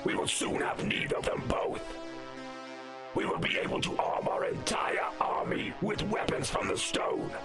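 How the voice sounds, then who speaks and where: Woman playing a slow, menacing villainess over music. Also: close to an everyday voice. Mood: scheming. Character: merciless power-hungry villainess, a dark lord commanding minions. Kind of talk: audio drama acting.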